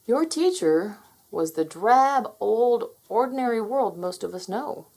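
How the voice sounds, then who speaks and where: A woman voicing a patient, wry male magic dragon guide in a children's storybook, theatrical and gently teasing. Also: on the high side, expressive, a little slow, voice pushed up. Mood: gentle, encouraging. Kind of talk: children's storybook character read aloud.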